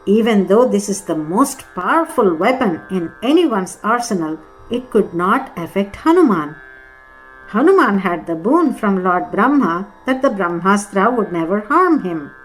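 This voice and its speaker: Woman narrating, soft music behind. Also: mid-range voice, very animated, poor audio. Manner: measured storytelling. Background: Carnatic music with sitar.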